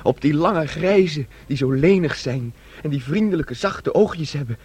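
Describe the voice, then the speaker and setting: An actor in a Dutch radio play playing a childlike, eager, dreamy young man, naive and insistent, with a husky voice. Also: on the high side, expressive, unhurried, a little mellow, full character voice. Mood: stubborn, boyish. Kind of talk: Dutch radio drama acting.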